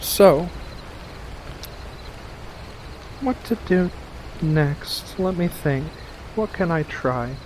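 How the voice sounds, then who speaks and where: A man voicing a playful, mischievous furry witch of the woods in a soft-spoken, teasing ASMR roleplay, full of gentle endearments. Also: fairly high pitch, lively intonation, takes it slowly, a little hiss or hum, poor audio. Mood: musing.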